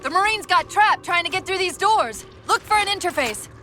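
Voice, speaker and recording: A woman playing a brisk, witty female AI companion in a video game, giving confident tactical briefings. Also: extremely high pitch, lively intonation, sharp tone, soft background music, exaggerated voice. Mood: focused, brisk. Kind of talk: video game cutscene acting.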